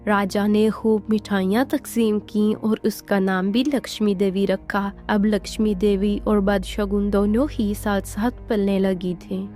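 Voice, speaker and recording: A woman tells a scripted cartoon story. Her pace is slow and her pitch is average, with faint music behind the narration. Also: flat delivery, dark tone.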